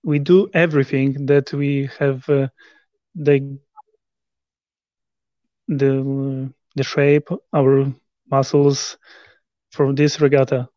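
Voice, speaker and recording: A man in his thirties from Poland with a Polish accent, speaking halting English with many restarts in a video call interview. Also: medium pitch, flat delivery, dark tone, no echo, muffled recording. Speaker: interview guest, rower. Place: living room at home.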